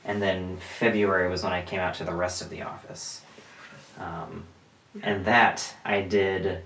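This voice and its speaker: A man of twenty-five, co-hosting a podcast, telling stories in an animated way with lots of fillers and frequent laughs. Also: low-pitched, a little slow, creaky, a little hiss or hum. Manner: animated storyteller, laughs often, many fillers. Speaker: podcast co-host. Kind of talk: casual chat. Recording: roomy sound, mic at a distance.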